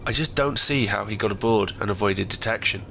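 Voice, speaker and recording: A man's voice: lowish voice, a little fast, creaky, bright, forceful tone, slight background noise, rough recording. A man playing a dutiful, skeptical starship officer in a science fiction audio drama, with a clipped, questioning military manner. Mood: businesslike.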